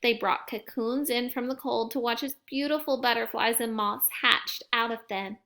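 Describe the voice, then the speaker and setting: Woman, higher-pitched bright voice, picture-book read-aloud, teacher narrating for young children. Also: steady tone, moderate pace. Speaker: teacher reading aloud to kindergarteners.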